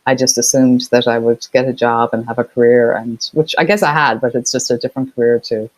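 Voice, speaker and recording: A woman's voice: a bass voice, steady tone, brisk, a little mellow, no room sound, low-quality recording. A middle-aged woman from Ireland with an Irish accent touched by Boston, chatting easily as an interview guest with many fillers. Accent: Irish with some Boston. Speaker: guest, partnerships expert. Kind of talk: casual interview chat.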